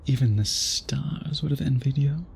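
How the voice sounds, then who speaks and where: A man playing a centuries-old vampire suitor in an intimate ASMR audio drama, speaking in formal, archaic, deliberate phrases with a breathy, husky voice. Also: mid-range voice, a little mellow, slight background noise. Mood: tender, teasing. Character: centuries-old male vampire suitor, possessive, courtly, brooding.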